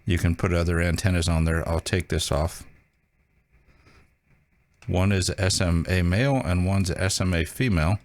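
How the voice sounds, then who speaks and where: A man hosting a casual hands-on tutorial, talking informally and haltingly as he thinks aloud. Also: low-pitched, no echo. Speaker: video host demonstrating a radio.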